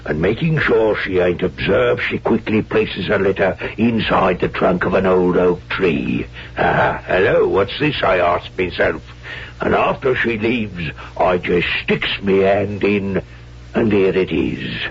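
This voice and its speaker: Gravelly, low-voiced sly henchman. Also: animated, a little slow, full character voice. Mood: sly, pleased. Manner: folksy, ungrammatical speech. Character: uneducated, sly male henchman who spies for hire. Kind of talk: 1970s radio drama acting. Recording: dull vintage radio recording, faint hum.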